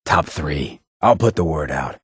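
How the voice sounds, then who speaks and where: An actor playing a roguish, cynical charmer of a town mayor in a video game, with a raspy, gravelly voice and a cocky, slangy drawl. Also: male voice, very deep voice, expressive, measured pace, no room sound, clean studio sound, full character voice. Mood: sly, easygoing. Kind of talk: video game character dialogue.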